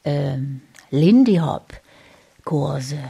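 A woman's voice: low-pitched, expressive, very slow, vocal fry, dark tone, husky, exaggerated voice. An actress playing a friendly, eager older woman chatting at a speed date in an improvised radio drama. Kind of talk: improvised audio drama dialogue.

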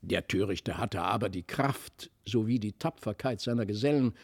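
A man with a standard German accent reads a literary fairy tale as an expressive, deliberate storyteller. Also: fairly low pitch, slightly rough, dry recording, studio quality. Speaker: audiobook reader.

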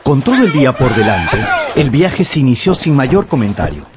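A man narrating a radio drama in Spanish with deliberate, suspenseful storytelling. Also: medium pitch, very animated, background noise. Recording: music behind the voice.

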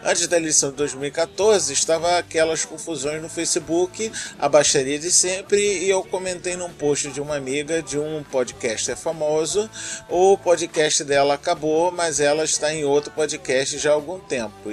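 A man with a Brazilian accent, speaking as a podcast host as he reads out listener mail and thanks. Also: on the high side.

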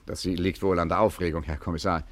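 An actor playing a cool, commanding gangster boss in a 1950s German radio crime satire. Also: male voice, low-pitched, level tone, quick pace, close to an everyday voice. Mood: feigned, official. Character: cool, cocky gentleman gangster boss, ordering his crew around.

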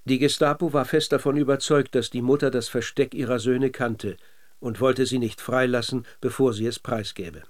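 Man narrating measured German radio documentary drama. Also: medium pitch, fairly even intonation. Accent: standard German.

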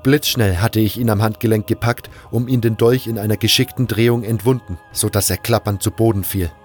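A man's voice: on the low side, fairly even intonation, a little fast, a little mellow, faint music behind. A man reading scripted first-person narration for an audio drama.

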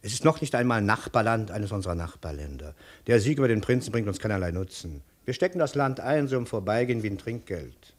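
An actor playing an ambitious, calculating imperial chancellor who fawns and insinuates in measured speech, in 1950s radio drama style. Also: male voice, lowish voice, animated. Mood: dismissive, self-important. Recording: 1950s radio studio recording.

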